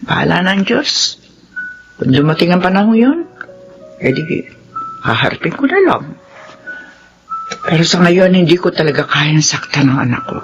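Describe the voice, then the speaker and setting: A woman playing a loving, worried middle-aged mother who gently scolds, with a Filipino accent, in radio drama style. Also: on the low side, moderate pace, pauses often, lo-fi sound, faint music behind. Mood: sorrowful. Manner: fond scolding, animated.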